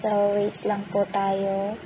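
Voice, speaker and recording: A woman's voice: medium pitch, completely flat delivery, takes it slowly. A woman speaking with a Filipino accent, walking viewers through a phone app tutorial step by step in a polite, patient way. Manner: polite, patient, uses many "po" courtesy markers. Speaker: tutorial channel host. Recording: tinny phone-like audio with faint noise.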